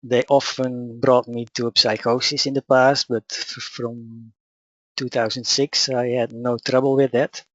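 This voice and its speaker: A man with a Dutch accent, whose first language is Dutch, calmly telling his personal story and teaching in a measured, matter-of-fact way. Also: mid-range voice, dry recording, dull audio. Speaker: spiritual teacher. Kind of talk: personal account and teaching talk.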